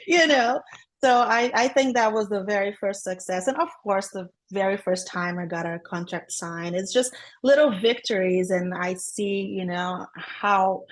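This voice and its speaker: A woman's voice: medium pitch, measured pace. A woman from Brazil with a Brazilian accent, a guest in a casual interview who talks upbeat and laughs often between her thoughts. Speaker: guest, transaction coordinator.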